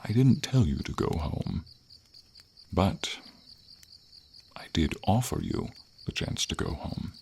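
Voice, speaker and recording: A man voicing a gentle, wise dragon in a fantasy ASMR roleplay, speaking formally in slow, measured phrases with thoughtful pauses. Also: full character voice. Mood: encouraging, earnest. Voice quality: very deep, gravelly. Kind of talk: ASMR fantasy roleplay, scripted character acting. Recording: steady hiss of rain and insects behind. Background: light rain and night insects.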